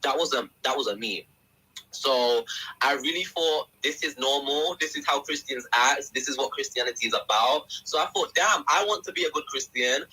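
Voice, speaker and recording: A young man from England tells his story as a guest in a casual podcast chat, animated and acting out what others said. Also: medium pitch, normal speed, husky, poor audio. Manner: animated storyteller who acts out quotes.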